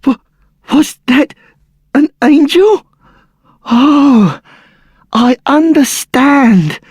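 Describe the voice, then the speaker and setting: An actor playing a lovestruck, pious poor soul, swooning and melodramatic. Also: male voice, extremely high pitch, expressive, very slow, a little mellow, dry recording, clean studio sound, exaggerated voice. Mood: smitten, pleading.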